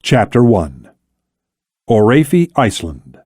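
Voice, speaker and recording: A man reading a thriller novel as scripted audiobook narration, in a measured, deliberate way with dramatic pauses. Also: on the low side, very animated, a little mellow, slightly rough, no room sound, clean studio sound.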